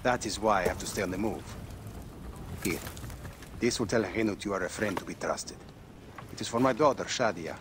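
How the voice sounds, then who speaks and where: Male actor: weary rebel on the run, guarded game drama delivery, deep voice, noisy ambience. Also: normal speed, pauses often, lightly acted. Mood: grave, conspiratorial. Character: wary, weary rebel fighter on the run, husband and father. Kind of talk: dramatic game cutscene acting.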